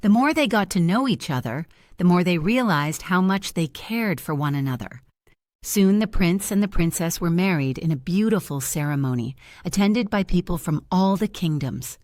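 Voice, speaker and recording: A woman reads a children's fairy tale aloud in a calm, even bedtime manner. Her voice sits a little low and is recorded very dry and close, with no room sound. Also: moderate pace, no echo. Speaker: storyteller. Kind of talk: scripted bedtime story narration.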